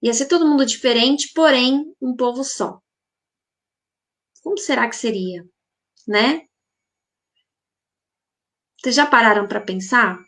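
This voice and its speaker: A woman's voice: a little high, takes it slowly, long pauses, fairly bright tone. A woman hosts a spiritual healing group, musing aloud in a live reflective talk and asking listeners many rhetorical questions. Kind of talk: live reflective talk answering viewer comments.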